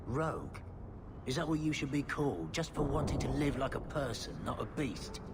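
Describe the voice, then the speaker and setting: A man's voice: mid-range voice, lively intonation, normal speed, lightly acted. A man playing a shady informant who helps runaways for coin, in a video game cutscene. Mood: indignant, resigned. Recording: loud background ambience noise.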